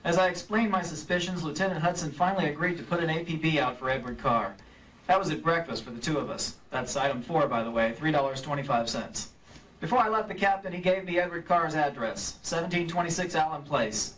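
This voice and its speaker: A man playing a brisk, dogged insurance investigator with clipped, wry delivery in a live old-time radio drama, slightly distant from the mic. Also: a little high, fairly bright tone, a little hiss or hum, lightly acted. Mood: businesslike. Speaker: lead actor and narrator. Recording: live stage recording, off mic.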